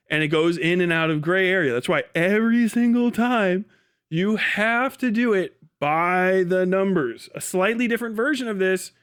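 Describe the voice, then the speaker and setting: A man's voice: high-pitched, a bit bright, smooth. A man hosting a livestream, giving off-the-cuff commentary in an emphatic, repetitive, argumentative way with casual swearing. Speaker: live stream host.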